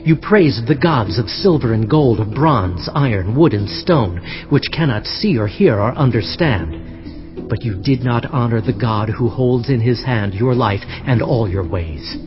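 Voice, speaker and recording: Grave prophet over clear music, acted by a man. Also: mid-range voice, lively intonation, natural voice. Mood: stern reproach. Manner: grave, deliberate, declamatory. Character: solemn prophet rebuking a proud king. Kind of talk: dramatized scripture reading. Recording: muffled sound with music underneath.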